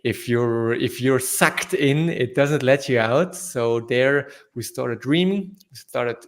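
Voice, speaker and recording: A young man from South Tyrol whose first language is German, speaking English with a German accent as an interview guest, halting with fillers and restarts. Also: mid-range voice. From northern Italy, South Tyrol. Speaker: guest entrepreneur. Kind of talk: casual interview answers.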